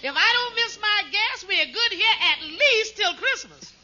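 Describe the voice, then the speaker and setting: A woman's voice: extremely high pitch, lively intonation, unhurried, pushed and bright, heavily acted. An actress playing a domineering, crafty old mother-in-law in a 1940s radio comedy, gloating and folksy. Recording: dull old radio recording with noise.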